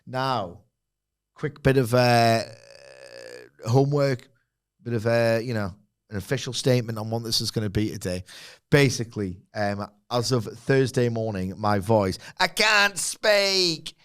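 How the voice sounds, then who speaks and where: A man co-hosting a wrestling podcast, his voice hoarse from illness, chatting casually and joking with fillers. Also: mid-range voice, unhurried, fairly bright tone. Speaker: podcast co-host.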